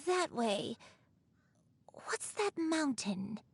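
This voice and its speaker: Slow-speaking, frail old grandmother. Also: high-pitched, sing-song, vocal fry, full character voice. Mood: puzzled, wistful. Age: older. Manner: slow, halting, wistful. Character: frail, gentle old grandmother with failing memory. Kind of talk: video game voice acting. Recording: background music under the dialogue.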